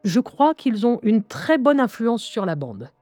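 A woman playing a lively young schoolgirl who narrates her life in a wry, confiding, expressive way in an audio drama. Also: a little high, a little slow, no echo, faint music behind. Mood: confiding narration. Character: lively eleven-year-old schoolgirl recounting life with inner voices.

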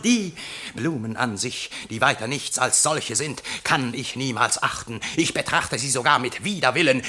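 A self-important poet in a literary radio play. His voice is highish and sharply forceful, and he declaims haughtily, full of indignation and contempt. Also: full character voice. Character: pompous, indignant poet who despises noble flowers and soft verse. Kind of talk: theatrical radio play acting of literary text.

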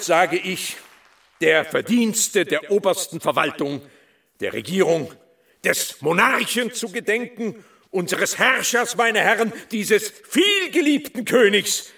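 An actor playing a pompous provincial official in a radio drama, declaiming a grand public speech. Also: male voice, sits high, gravelly, bright, forceful tone, exaggerated voice.

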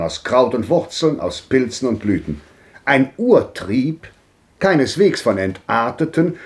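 A slow, rapturous old man. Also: mid-range voice, darkish tone. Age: older. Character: old man, ecstatic musing philosopher. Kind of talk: 1950s radio drama acting.

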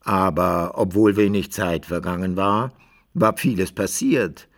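A man's voice: low voice, unhurried. An actor playing a fussy storyteller in a radio drama, who muses aloud and questions himself as he narrates. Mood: musing, puzzled. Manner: musing aloud, self-questioning. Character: musing, fussy storyteller devoted to his hero.

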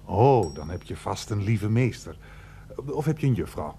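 A man's voice: fairly low pitch, sing-song, normal speed, creaky, mellow, breathy edge, full character voice. An actor playing an evasive, unctuous older uncle in a radio play, stammering and repeating his words. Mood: avuncular.

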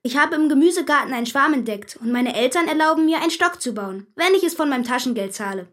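A polite schoolgirl in a radio play. Her voice is high and she speaks eagerly, with a slight, courteous hesitation. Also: animated, fast talker, a bit bright. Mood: polite, hesitant. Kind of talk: German radio drama dialogue.

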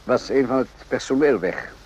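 An actor playing a composed, probing army captain in a radio drama, speaking in a measured, understated way with hesitant pauses. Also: male voice, medium pitch, a little mellow, slightly rough, close to an everyday voice. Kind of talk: radio drama acting in Dutch. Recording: dull, muffled old radio recording.

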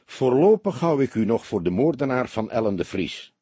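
Audiobook detective, voiced by a male reader: dry, nonchalant, politely provocative, husky tone, close dry sound. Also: medium pitch, big pitch swings, normal speed, dark tone, no echo. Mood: cool, firm. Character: laconic, calm, needling male police detective. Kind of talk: audiobook character voice.

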